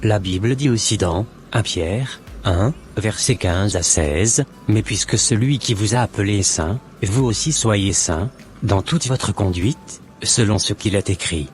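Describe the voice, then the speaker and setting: A man voicing a church brother who slowly quotes scripture to correct a friend in a cartoon. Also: lowish voice, soft background music, natural voice. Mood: preachy. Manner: slow, preachy, quotes scripture. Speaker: channel co-host.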